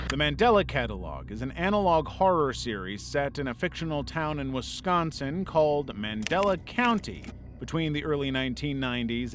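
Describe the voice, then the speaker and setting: A man's voice: medium pitch, fairly bright tone. A man reading a scripted narration in the role of a video essay narrator. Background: background music.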